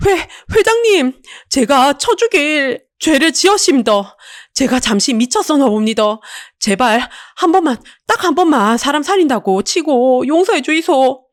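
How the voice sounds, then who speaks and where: A cowardly husband from an audiobook melodrama, voiced by a woman, pitched high and strained. He grovels in drawn-out, desperate pleas with a Gyeongsang accent. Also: lively intonation, no room sound, studio quality. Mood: desperate begging.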